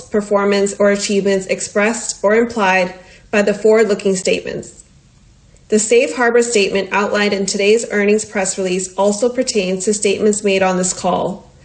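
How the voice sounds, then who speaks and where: A woman in investor relations reading a scripted legal disclaimer to open a call, formal and neutral. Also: mid-range voice.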